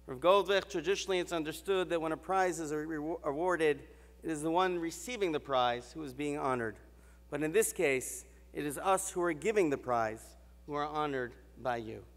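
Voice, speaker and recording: A man delivers a formal ceremonial award address from a podium, measured and stately, with deliberate pauses. His voice sits fairly high. The sound carries the long reverb of a large banquet hall. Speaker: university president presenting an award. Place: large hotel ballroom.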